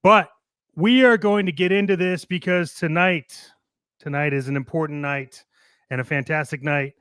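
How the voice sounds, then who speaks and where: A man's voice: a little high, normal speed, a bit bright, clean tone, no room sound, clean studio sound. A man hosting a casual hype show in a showy, cheeky way, swearing casually. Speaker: podcast host.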